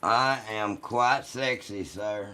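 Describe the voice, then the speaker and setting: A man with a deep, rough voice chats casually and jokingly with his livestream audience in a folksy, salty way. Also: takes it slowly, bright, forceful tone. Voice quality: deep, rough voice from vocal cord polyps. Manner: folksy, joking, foul-mouthed. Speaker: livestream host reading and answering chat.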